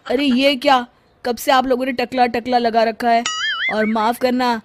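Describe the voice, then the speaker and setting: A touchy young cartoon bachelor acted out by a woman. He sounds wronged and plaintive, quick to indignation, in a raised voice. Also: on the high side, flat delivery, over music, voice pushed up, lightly acted. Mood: indignant.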